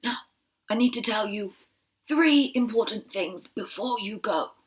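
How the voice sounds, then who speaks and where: A woman voicing an elderly male rabbit leader, gruff and serious yet kind, giving earnest advice. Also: mid-range voice, a little slow, close to an everyday voice. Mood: grave. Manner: gruff, grave.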